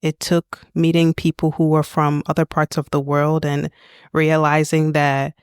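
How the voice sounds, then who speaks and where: Low-voiced woman co-host with a smooth, resonant tone, slow and soft-spoken. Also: monotone, no room sound, studio quality. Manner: soft-spoken, reflective, lingers on words. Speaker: podcast co-host. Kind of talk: reflective conversation.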